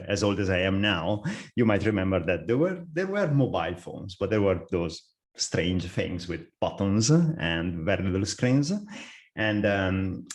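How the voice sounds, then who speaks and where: A middle-aged man with an Italian accent, presenting a live software webinar with frequent fillers and restarts. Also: on the low side. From Italy. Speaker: software architect, webinar speaker.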